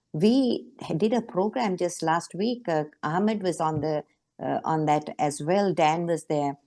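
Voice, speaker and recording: Earnest woman from Sri Lanka, low mellow voice. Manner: earnest, emphatic, with frequent fillers. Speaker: panel guest. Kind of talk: panel discussion.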